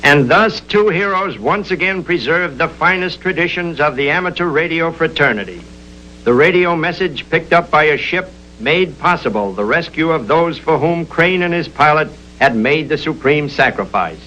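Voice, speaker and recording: A man's voice: on the high side, animated, moderate pace, bright, forceful tone. A man narrating in a melodramatic, earnest 1930s newsreel style, reading a script with great drama. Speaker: film narrator. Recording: muffled, very noisy old 1930s film soundtrack.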